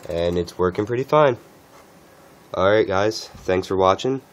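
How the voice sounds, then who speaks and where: A man who is a little sick, talking through a casual how-to walkthrough in a halting, unscripted way. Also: sits low, slight background noise. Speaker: tech tutorial host.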